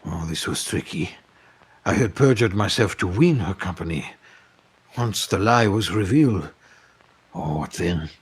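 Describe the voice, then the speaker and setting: A man's voice: sits low, animated, measured pace, pauses often, husky, exaggerated voice. A man playing a wealthy, pompous French film producer, lovesick and vengeful, telling his story in florid, melodramatic audio drama. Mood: confessional, yearning. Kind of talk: dramatic first-person storytelling in audio fiction.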